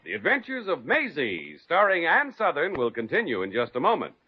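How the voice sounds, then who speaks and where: A man's voice: on the high side, huge pitch swings, a little fast. A man announcing a radio show in 1950s style. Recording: dull vintage radio recording, loud music behind. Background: loud music.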